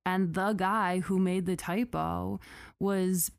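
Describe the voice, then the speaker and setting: A young American woman co-hosting a casual podcast, chatty and jokey, full of "like" fillers and quick asides. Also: on the low side, fairly even intonation, gravelly, no room sound, clean studio sound. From United States. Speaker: podcast co-host.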